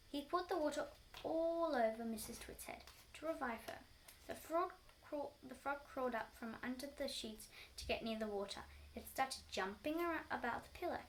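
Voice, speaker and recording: High-voiced woman reading a children's story. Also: slight background noise, poor audio. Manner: halting, often rereads words. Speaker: storybook reader.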